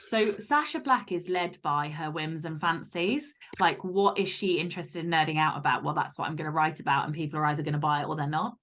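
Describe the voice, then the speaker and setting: Lower-pitched woman, emphatic and candid. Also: fairly quick, few pauses, fairly bright tone. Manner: emphatic, candid, swears casually. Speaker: podcast guest, author. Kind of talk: casual interview chat.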